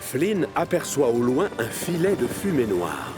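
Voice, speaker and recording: A man's voice: mid-range voice, sing-song, a little slow. A man narrating a scripted children's story as the storyteller of a cartoon. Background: music.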